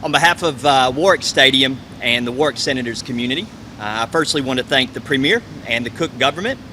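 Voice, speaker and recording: Bright-voiced man giving a formal speech. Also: mid-range voice, fast. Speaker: community sports centre representative. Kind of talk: prepared press conference speech. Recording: faint ambient hum and noise.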